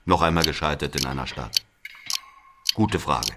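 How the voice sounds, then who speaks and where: A man's voice: a bass voice, normal speed, heavy background noise. A man playing a bitter brother of a murdered man, telling his story in an emphatic, conversational dramatic monologue. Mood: confiding. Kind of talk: confiding dramatic monologue to a listener.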